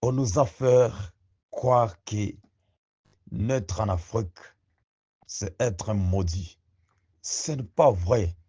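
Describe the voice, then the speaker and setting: A man giving a slow, rousing spoken address to young listeners, full of rhetorical questions. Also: lowish voice, mellow, slightly rough. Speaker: activist speaker.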